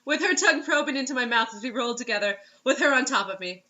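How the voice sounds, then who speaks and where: A woman's voice: sits high, animated, fast talker, sharp tone, highs cut off. A woman reading fiction aloud, giggly and often breaking off into incredulous joking asides. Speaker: reader and co-host mocking bad fiction.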